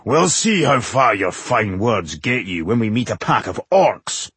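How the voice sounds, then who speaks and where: A man's voice: fairly low pitch, big pitch swings, measured pace, fairly bright tone, husky, dry recording, exaggerated voice. A man voicing a surly, scornful dwarf warrior who growls and mutters in a fantasy audiobook. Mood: malevolent.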